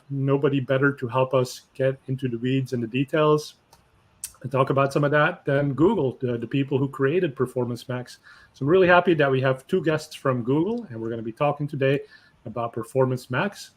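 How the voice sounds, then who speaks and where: A man hosting a marketing webinar, talking in an energetic, conversational way with fillers. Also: medium pitch, a little fast. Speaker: host.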